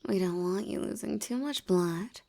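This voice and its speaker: A woman voicing a seductive, commanding vampire hypnotist in an intimate audio roleplay, soft and breathy, often near a whisper, with a teasing, coaxing manner. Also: mid-range voice, natural voice. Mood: satisfied, fond. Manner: slow, coaxing, teasingly dominant.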